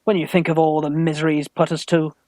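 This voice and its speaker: Farce role: man playing an anxious British young gent, earnest, fretful, slightly high voice, dry sound. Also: flat delivery, fast, darkish tone, no room sound, natural voice. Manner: worried, earnest. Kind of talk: Victorian stage farce, radio play acting.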